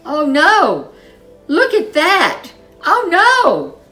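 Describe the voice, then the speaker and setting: A woman's voice: sits high, huge pitch swings, drawn-out words, bright, forceful tone, faint music behind, voice pushed up, exaggerated voice, rough recording. A woman voicing a brave, feisty young girl in a storybook, exclaiming dramatically. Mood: shouting, alarmed.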